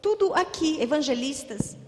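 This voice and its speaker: A Brazilian woman with a São Paulo accent interprets a sermon live in a church hall. She talks quickly and fluently, her voice high and bright, with a long reverb hanging behind her words. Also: expressive. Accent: São Paulo Portuguese. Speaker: interpreter and pastor.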